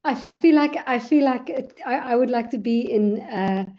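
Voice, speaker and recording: A woman's voice: fairly high pitch, normal speed. A woman joining the discussion in an online seminar, searching for words and repeating herself, heard over a video call. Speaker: seminar participant. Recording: dull lo-fi audio.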